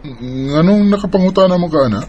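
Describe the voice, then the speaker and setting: A man's voice: a little high, animated, a little fast, a little mellow, lo-fi sound. A man with a Visayan Filipino accent playing a devoted, easily startled young boyfriend in a radio drama. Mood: startled, defensive.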